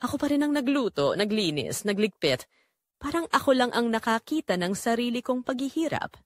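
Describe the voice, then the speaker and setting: A woman's voice: a little high, very animated, normal speed, no echo, clean studio sound. A woman playing a weary, neglected mother who tells her own story in an emotional, pleading audio drama narration. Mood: sorrowful. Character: Marites, weary, neglected, long-suffering Filipino mother.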